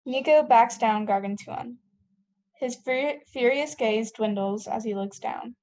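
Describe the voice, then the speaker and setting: A woman's voice: fairly high pitch, unhurried, darkish tone. A woman narrating scripted stage directions for a table read.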